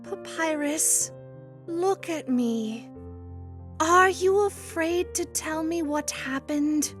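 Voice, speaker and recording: A woman's voice: high-pitched, takes it slowly. A woman voicing a motherly spider monster in a comic dub, caring and firm in an earnest, coaxing way. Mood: gentle, coaxing. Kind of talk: amateur comic dub voice acting. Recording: music bed under the voice.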